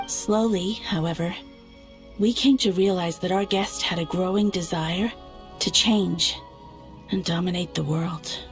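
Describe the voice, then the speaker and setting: A woman playing a grave, stately moon goddess in a game cutscene, speaking calmly and deliberately in formal phrasing. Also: lowish voice. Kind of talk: dramatic game cutscene acting. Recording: background music under the voice.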